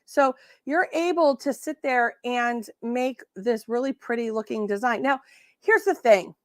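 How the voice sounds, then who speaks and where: A hoarse woman hosting a casual livestream crafting tutorial, sassy and chatty, often restarting her sentences. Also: a little high, a little slow, dry recording, clean studio sound. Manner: sassy, chatty, self-correcting. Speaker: host.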